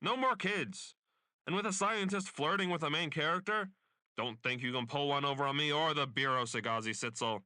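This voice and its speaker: A man's voice: a little high, moderate pace, pushed and bright, no echo. A man playing a pompous, bigoted state censor in a dystopian radio play, haranguing and scornful. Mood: outraged. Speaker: voice actor.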